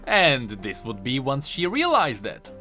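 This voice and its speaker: A man's voice: medium pitch, wildly swooping pitch, measured pace, sharp tone. A man giving emphatic, enthusiastic explanatory commentary on video game lore as a voice-over. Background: faint music.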